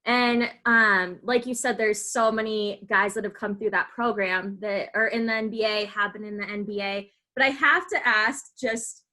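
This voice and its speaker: A woman's voice: medium pitch, fairly even intonation, normal speed, few pauses, bright, forceful tone. An American woman hosting a podcast interview in a casual, conversational way. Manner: frequent listening responses. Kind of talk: casual chat.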